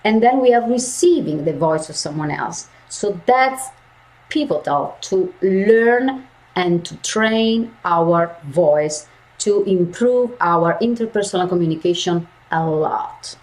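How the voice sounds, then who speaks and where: Slow, emphatic woman voice coach. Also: on the low side, expressive, darkish tone, rough recording. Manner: slow, emphatic, repeats key phrases. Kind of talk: lecture to university students.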